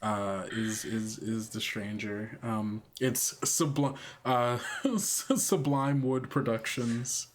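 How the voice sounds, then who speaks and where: A man with a medium-pitched voice acts as game master and host of a podcast. He talks in a casual, chatty way, keeping things informal. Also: slow. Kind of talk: casual podcast sign-off and chat.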